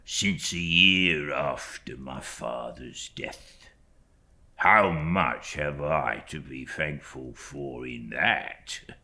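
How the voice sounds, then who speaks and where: An unctuous young clerk from a classic novel. The voice is extremely low and gravelly, with a tense edge. He crawls through each phrase with false humility, letting the endings drop to a hush. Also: full character voice. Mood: fawning. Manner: slow, obsequious, drawn-out, hushed phrase endings. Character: oily, falsely humble young male law clerk. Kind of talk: audiobook character acting.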